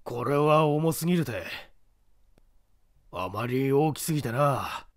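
A man's voice: medium pitch, expressive, slow, no room sound, studio quality. Man voicing a commanding, composed master thief. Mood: regretful. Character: commanding, composed male gentleman-thief gang leader.

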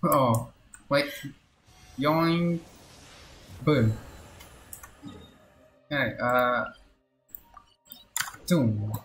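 A game streamer with a bright, forceful voice talks in unhurried bursts. The commentary is casual and excitable, with repeated phrases and little exclamations, in a roomy space with game music behind. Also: mid-range voice. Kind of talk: casual live gameplay commentary.

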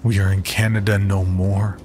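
A man's voice: low-pitched, steady tone, slow, darkish tone, soft background music. A man voicing a cold, disdainful frontier man who speaks curtly. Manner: cold, curt.

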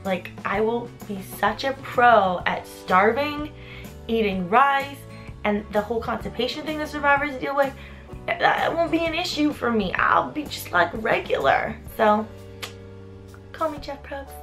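A woman's voice: sits high, measured pace, faint music behind. A young woman in her twenties, vlogging casually to a handheld camera, explaining things in a friendly, chatty way. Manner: upbeat and chatty, then quieter and drowsy. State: groggy after treatment. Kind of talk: casual vlog narration. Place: outdoors in a city alley, later a bedroom. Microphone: handheld camera at arm's length.

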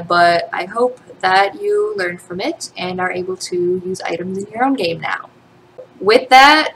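A woman's voice: mid-range voice, fairly bright tone, slight background noise, rough recording. A young adult woman giving a casual tutorial as host, talking through what happens on her screen. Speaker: tutorial host, game developer. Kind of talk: casual screen-recorded software tutorial with read-out dialogue. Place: small home room, indoors. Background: background music.

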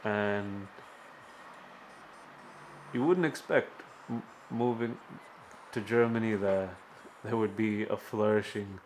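A man from Sri Lanka explaining the comedy scene in a measured way during an interview. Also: on the low side, slight background noise. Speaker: stand-up comedian. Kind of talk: documentary interview.

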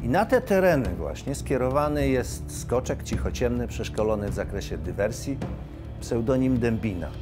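A man, giving expert documentary commentary emphatically, with dramatic pauses. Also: mid-range voice, animated. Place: indoor studio. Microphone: lapel. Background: music.

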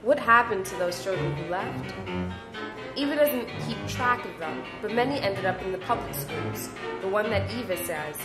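A woman narrates in a scripted, fairytale-book style at a medium pitch. Her voice has noticeable echo around it, and music plays prominently underneath. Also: expressive, moderate pace.

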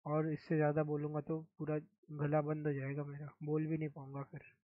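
A young man from India chats softly on his game stream, his throat sore and hoarse. His slightly high voice stays flat and even. The sound is thin and tinny, like a phone line, with no room echo. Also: brisk. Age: young adult. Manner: speaks softly, mic-checking. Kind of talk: casual live-stream chat.

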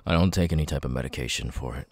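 A man playing a nervous, grateful young outcast with vocal tics in an ASMR roleplay, speaking haltingly and repeating words. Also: husky, no echo, studio quality. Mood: hesitant, weary. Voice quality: very deep voice with stuttering, sudden high-pitched tic outbursts.

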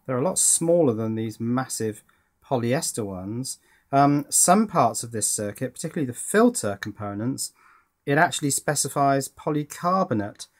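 A British man in middle age with a mid-pitched voice talks casually through hands-on work. He thinks aloud as he goes, pausing and hesitating like a hobbyist presenting at his own workbench. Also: expressive. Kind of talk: casual hands-on project narration. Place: indoors at a workbench.

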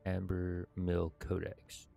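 A man in his thirties who hosts gaming videos, reading game text and commenting in a measured, halting, casual way. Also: sits low, completely flat delivery, vocal fry, warm tone, faint music behind. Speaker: gaming video host reading quests aloud. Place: indoors at a computer.

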